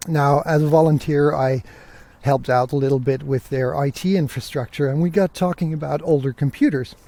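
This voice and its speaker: Mellow, higher-pitched man telling casual stories. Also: fairly even intonation, normal speed. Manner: conversational, acts out remembered dialogue. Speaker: podcast host. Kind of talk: casual solo podcast storytelling.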